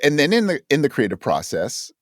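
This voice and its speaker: A man's voice: on the high side, wildly swooping pitch, a little fast, dry recording. Thoughtful man in a dry, close recording. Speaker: interview guest, audio drama writer. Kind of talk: conversational interview about writing.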